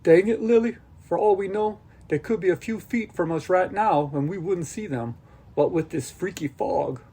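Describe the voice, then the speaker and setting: A man's voice: sits high, normal speed, warm tone, breathy edge, voice pushed up. A man voicing a blunt, caring but exasperated boy with a colloquial, sardonic delivery in an audiobook. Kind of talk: audiobook character dialogue.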